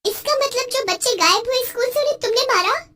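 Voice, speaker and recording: A woman's voice: very high-pitched, lively intonation, fairly quick, pushed and bright, a little hiss or hum, voice pushed up, heavily acted. A woman voicing a schoolteacher in a cartoon story, protective and addressing her young pupils. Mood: alarmed. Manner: addressing a class of children.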